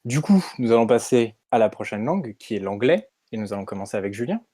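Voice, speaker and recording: A man's voice: lowish voice, sing-song, fast talker, warm tone, slightly rough. A man hosting a podcast, reading a prepared introduction and putting questions to his guests. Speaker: podcast host. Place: remote recording from own location.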